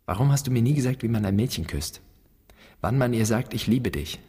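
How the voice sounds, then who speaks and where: Reproachful son in long reverb. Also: male voice, mid-range voice, lively intonation, a little fast, warm tone, slightly rough. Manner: accusing, rhetorical. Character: bitter, reproachful grown son confronting his absent father. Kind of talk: literary radio play monologue, declaimed.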